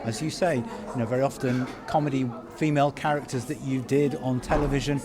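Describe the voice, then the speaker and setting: A man's voice: mid-range voice, steady tone, brisk, crowd noise. Chatty male red-carpet interviewer. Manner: chatty, teasing, upbeat. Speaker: podcast host and interviewer.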